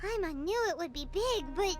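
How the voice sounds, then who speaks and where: Squeaky tiny fairy sidekick, super high n bouncy, excitable game character, loud music. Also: female voice, normal speed. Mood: startled. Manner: squeaky, exclamatory, refers to self by name. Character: tiny childlike floating fairy companion, excitable, naive. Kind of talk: cartoonish video game voice acting.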